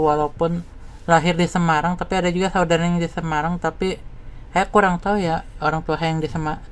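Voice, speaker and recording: A man's voice: on the high side, a little hiss or hum. A man from West Java giving a casual, rambling, informal personal monologue as a content creator. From West Java, Indonesia.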